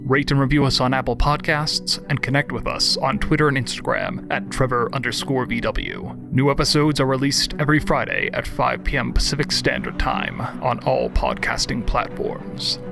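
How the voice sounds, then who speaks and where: A man reading scripted podcast credits as an announcer, in a measured delivery. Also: mid-range voice, steady tone. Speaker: show creator and announcer. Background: clear music.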